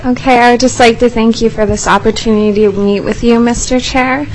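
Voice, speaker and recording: Young woman, twenties, from Alaska, earnest testimony, even pitch, light background noise. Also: a little fast, low-quality recording. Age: 20s. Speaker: youth advocate testifying. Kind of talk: formal testimony. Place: legislative committee room.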